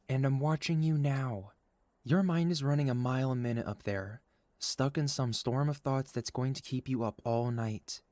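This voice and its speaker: A man playing a gentle, caring young boyfriend in an intimate ASMR roleplay, speaking softly and sincerely to an anxious partner. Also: mid-range voice, no room sound. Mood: concerned, coaxing. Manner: soft, sincere, tender, halting when worried.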